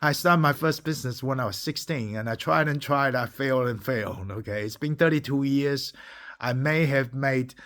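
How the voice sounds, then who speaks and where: A man's voice: mid-range voice, moderate pace, a bit bright. A man in his forties from Taiwan, a native Taiwanese and Mandarin speaker talking English, who speaks in an emphatic, preachy way, keeps asking "okay?" and swears now and then. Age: 40s. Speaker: entrepreneur vlogger. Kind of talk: impassioned monologue to camera.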